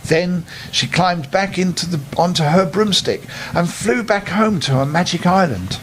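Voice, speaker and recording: An older man narrates a children's bedtime story in a rather high voice. His intonation is lively and expressive, and he talks straight to the young listeners. Some noise runs in the background. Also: unhurried, poor audio.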